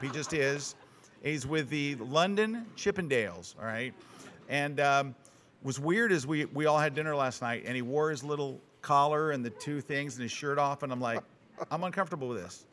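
A man hosting a live show, his voice sitting a bit high for a man. He teases his guests and tosses off quick jokes in a playful, loose way. It sounds like a live event in front of an audience. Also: fairly bright tone, a little hiss or hum. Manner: teasing, playful, quick asides. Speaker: show host. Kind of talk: joking live show banter.

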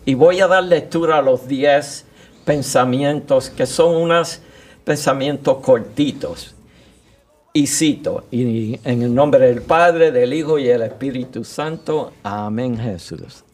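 Slow Puerto Rican radio host. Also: male voice, mid-range voice, a little mellow. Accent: Puerto Rican Spanish. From Puerto Rico. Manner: slow, halting, many fillers.

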